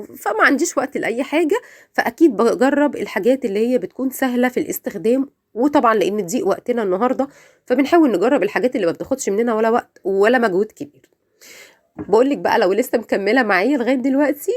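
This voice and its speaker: A woman's voice: on the high side, darkish tone. A woman with an Egyptian Arabic accent giving a chatty, effusive cooking voice-over, her voice slightly off from a cold. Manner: effusive, repeats words for emphasis, addresses viewers directly. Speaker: home cook hosting a recipe channel.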